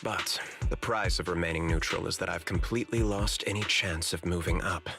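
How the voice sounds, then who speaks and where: An actor playing a candid, politely ambitious corporate security chief in a video game, speaking in an articulate, confiding way. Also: male voice, deep voice, fairly even intonation, fairly quick, creaky, bright, forceful tone, heavily acted. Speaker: corporate manager character. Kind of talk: video game story dialogue. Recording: music behind the voice.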